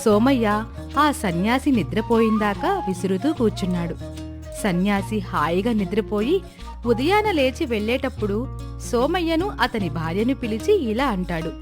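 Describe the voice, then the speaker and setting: A woman's voice: fairly high pitch, big pitch swings, moderate pace, music in the background. A woman narrating a scripted moral story for children.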